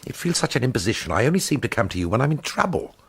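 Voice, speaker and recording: Wry, put-upon forger, very animated. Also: male voice, mid-range voice, very fast, gravelly, husky, heavily acted. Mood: anxious. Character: weary, put-upon male art forger on the run. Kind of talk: radio drama acting and first-person narration.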